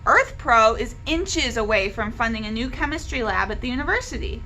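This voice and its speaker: A woman's voice: a little high, expressive, brisk, sharp tone, a little hiss or hum. A woman voicing a combative, insistent mother in a read-aloud novel. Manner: argumentative, insistent. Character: worried, combative, stubborn mom, adult woman.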